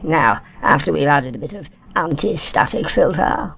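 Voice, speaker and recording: Man doing a creaky, cheeky British technician. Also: on the high side, animated, normal speed, muffled recording, slight background noise. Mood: matter-of-fact, cheeky. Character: cheeky, rough working-class male sound-effects technician.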